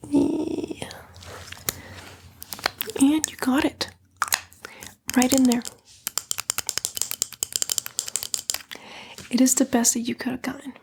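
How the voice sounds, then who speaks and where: Woman playing a sly, breathy, whispering demon temptress. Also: high voice, a little mellow, heavily acted. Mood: flattering, sly. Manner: coaxing, flippant, disdainful, drawling slang. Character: sly, sassy female demon, a tempting fallen angel. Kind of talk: whispered ASMR roleplay.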